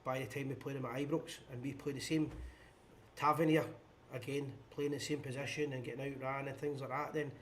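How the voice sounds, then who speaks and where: A man's voice: medium pitch, steady tone, fairly bright tone, a little hiss or hum. A Scottish man hosting a live fan phone-in show, blunt and opinionated in casual chat. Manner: blunt, opinionated, quick-talking.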